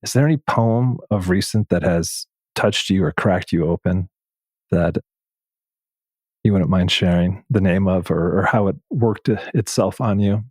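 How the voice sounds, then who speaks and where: A man hosting a podcast interview in a conversational way, appreciative and gentle, feeling his way through his phrasing. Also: deep voice, unhurried, husky, no echo. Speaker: podcast host.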